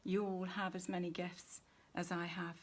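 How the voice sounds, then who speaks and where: A woman giving an unscripted spiritual oracle reading in gentle, deliberate phrases with long pauses, sounding low in energy. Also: flat delivery. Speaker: spiritual reader and host.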